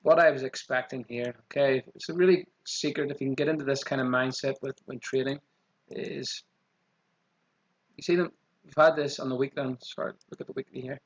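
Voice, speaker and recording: A man with a strong Irish accent walking through trading charts quickly, often saying "okay" as he goes. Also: mid-range voice, fairly even intonation, lo-fi sound. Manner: quick, repeats 'okay' as a filler. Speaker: trading channel host. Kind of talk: screen-share chart walkthrough.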